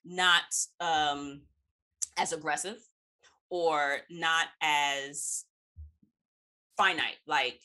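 A woman's voice: low-pitched, fairly even intonation, extremely slow, long pauses, bright, forceful tone. A woman hosting a conversational podcast interview, thinking aloud as she shapes her points.

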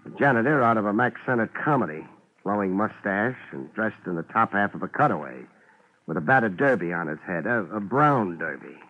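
An actor playing a wisecracking, world-weary private investigator, narrating and trading lines in a dry, laid-back deadpan in a hard-boiled radio drama. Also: male voice, fairly low pitch, fairly quick. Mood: wry, laid-back. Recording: muffled, noisy 1950s radio broadcast.

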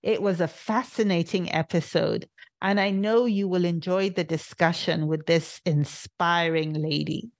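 A woman's voice: lowish voice, fairly even intonation, a little slow. A woman hosting a podcast, asking encouraging questions and prompting her guest again and again. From England. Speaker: podcast host. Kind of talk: casual podcast interview.

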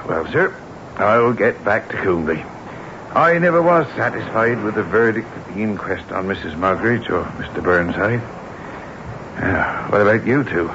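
A stolid country sergeant in a vintage radio mystery. He is polite and plain-spoken, with a slightly low, animated voice. The sound is muffled and noisy. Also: a little slow. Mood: dutiful. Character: stolid, courteous male country police sergeant. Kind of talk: 1960s radio drama acting.

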